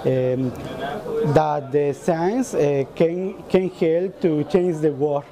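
A young man, pausing and searching for words in English. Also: a little high. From Mexico. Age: late teens. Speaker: student competitor. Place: busy indoor university lobby. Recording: crowd chatter behind.

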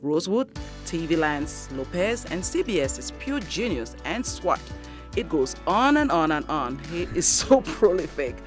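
Woman host, middle-aged, lively swooping pitch, quick to laugh, studio mic. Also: over music. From Ghana. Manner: animated, laughs often, chimes in. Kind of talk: radio-studio interview. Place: small radio studio. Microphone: studio broadcast mic.

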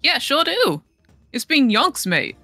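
A brash young raccoon sailor girl from a video game, as acted by a woman. The voice is bright and very animated, with an excitable, boastful temper and chummy sailor slang. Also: sits high, slow pace, dry recording, faint music behind, pitched above the performer's own voice, full character voice. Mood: brash, friendly. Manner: Aussie slang, brash, stammering when scared. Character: plucky excitable young female raccoon sailor, nervous braggart. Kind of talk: amateur game fandub.

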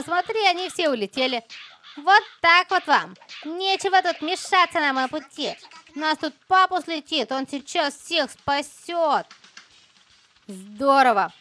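A woman's voice: high voice, fairly bright tone. A woman hosting a children's gaming channel, giving casual, chirpy commentary with playful exclamations and cute diminutives as she talks to young viewers.

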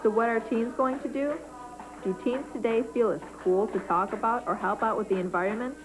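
A woman's voice: a little high, brisk, music in the background, low-quality recording. A young woman reporting and narrating a teen news feature in a chatty, explanatory tone.

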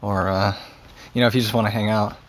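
A man playing an awkward, kind seventeen-year-old boy in an audio drama, speaking haltingly. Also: lowish voice, fairly even intonation, close to an everyday voice. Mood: shy, awkward. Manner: hesitant, halting. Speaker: actor. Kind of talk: naturalistic audio drama acting.

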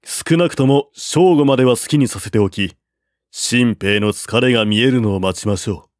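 A man voicing a calm, shrewd male strategist who counsels in a measured, persuading way, in a storybook reading. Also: medium pitch, no echo, clean studio sound, close to an everyday voice.

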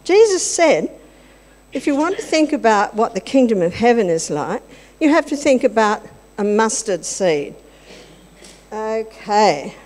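A woman's voice: on the high side, very animated, a little slow, darkish tone. An older woman leading a playful children's talk in a church service, chatting and asking the children questions. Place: large church hall with congregation. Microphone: handheld microphone. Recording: long hall reverb.

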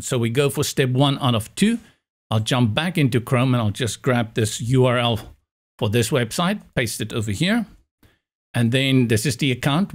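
A man in his forties whose first language is Afrikaans, hosting a web tutorial as an upbeat, enthusiastic explainer, recorded on a large studio mic in a small room. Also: on the high side. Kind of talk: screen-recorded software tutorial. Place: small room with window blinds, indoors. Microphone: large studio mic in front of face.

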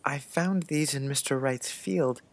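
A woman's voice: very deep voice, measured pace, pitched below the performer's own voice. A woman voicing a shy, earnest, polite young schoolboy in an audiobook. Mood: shy, affectionate. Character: shy, adoring young schoolboy with a sweet temper. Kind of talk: audiobook character dialogue.

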